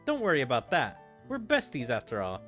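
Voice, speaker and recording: A man's voice: medium pitch, huge pitch swings, fairly quick, a bit bright, faint music behind. A man voicing a brash, playful young female demon lord from an anime game, devoted to a best friend. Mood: boisterous. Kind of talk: amateur read-aloud of anime game dialogue.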